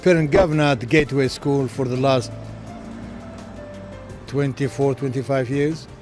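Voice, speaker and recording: Older Moroccan-born community volunteer. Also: male voice, mid-range voice, steady tone, unhurried, over music. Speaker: community volunteer and school governor.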